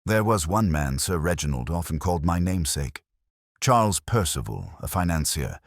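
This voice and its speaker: A man voicing an uneasy, hesitant Victorian gentleman in an audiobook, formal and guarded. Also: deep voice, big pitch swings, normal speed, gravelly, no room sound, studio quality, full character voice.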